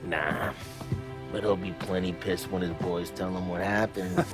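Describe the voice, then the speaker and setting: A man's voice: deep voice, steady tone, a little slow. An actor playing a brash, mocking male mob enforcer with tough street slang, in a video game cutscene. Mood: cocky. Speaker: gangster. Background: cinematic music.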